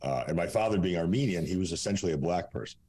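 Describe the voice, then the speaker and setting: A man's voice: low voice, animated, racing, a little mellow, slight background noise. A man in his forties from Russia, whose first language is Russian, speaking as a coach in a candid podcast interview, blunt and profane, rambling with fillers. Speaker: fitness coach and guest.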